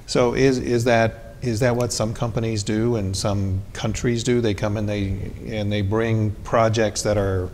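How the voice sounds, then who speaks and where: A man hosting a television interview, asking measured, inquisitive questions. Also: steady tone, darkish tone. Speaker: TV interviewer, host. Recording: roomy reverb with faint rumble.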